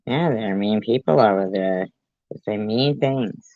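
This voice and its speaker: A woman's voice: very deep voice, measured pace, no room sound, phone-quality sound. A woman reacting to a clip with dry, sarcastic asides. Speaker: commentary YouTuber.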